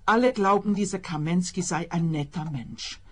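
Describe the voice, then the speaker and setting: A woman's voice: fairly low pitch, normal speed, husky. An actress playing a paranoid, self-righteous old woman in a radio play, declaiming her lines theatrically. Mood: suspicious, indignant. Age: older. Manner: declamatory, melodramatic.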